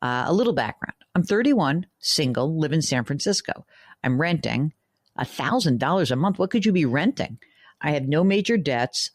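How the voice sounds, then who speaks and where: Frank, chatty radio money host. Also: female voice, extremely low pitch, no echo, clean studio sound. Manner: chatty, frank, thinks out loud with numbers; reads listener emails aloud, joking asides. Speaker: radio show host answering money questions. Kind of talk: conversational radio call-in advice.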